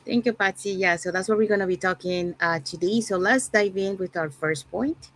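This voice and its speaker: A woman from Panama hosting an online panel show in a relaxed way, with many fillers. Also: medium pitch, normal speed, a little hiss or hum. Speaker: host.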